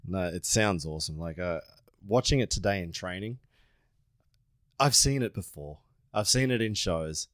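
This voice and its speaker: A man in his thirties hosting a casual podcast interview, telling stories in a lively way. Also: low-pitched, moderate pace, long pauses, dry recording, studio quality. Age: 30s.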